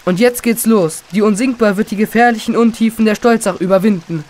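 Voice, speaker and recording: A performer playing a confident, boastful, excitable boy in a children's radio play. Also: mid-range voice, fairly quick, close to an everyday voice. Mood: cocky. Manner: cocky, dismissive, eager.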